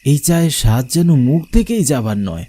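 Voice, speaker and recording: A man's voice: mid-range voice, big pitch swings, normal speed, dark tone, slightly rough. A man narrating a scripted horror story in the first person, in a dramatic, suspenseful manner. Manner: suspenseful, theatrical.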